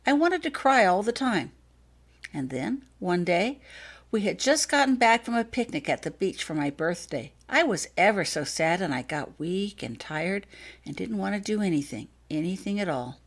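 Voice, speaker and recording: A woman's voice: fairly low pitch, sing-song, moderate pace. A woman voicing a sick young girl in a storybook, polite, shy and eager, in an earnest, childlike manner. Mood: sad, earnest. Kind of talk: storybook character dialogue.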